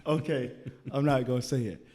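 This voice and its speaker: A man with a mellow, dark tone chats about fights, circling back and stammering over words as he thinks aloud. He sits at a studio mic with headphones on. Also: medium pitch, moderate pace. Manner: rambling, repeats words as he thinks. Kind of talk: casual boxing talk show.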